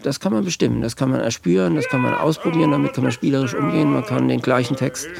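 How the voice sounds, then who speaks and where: A man, a composer, talking loosely about his work in a relaxed interview, often restarting his thoughts. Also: mid-range voice, fast, creaky, a loud crowd. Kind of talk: casual reminiscing interview.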